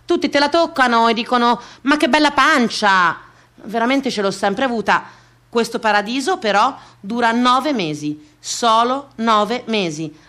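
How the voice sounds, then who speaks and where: A woman's voice: mid-range voice, fairly bright tone. A woman performing a comic confessional theatre monologue in a wry, conversational way.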